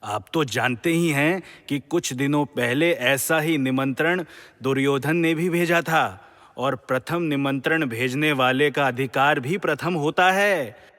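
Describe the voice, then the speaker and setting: A man acting a pragmatic, forceful royal courtier in a mythological audio drama, declaiming formally and with emphasis. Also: on the high side, unhurried. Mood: assertive. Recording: long hall-like reverb.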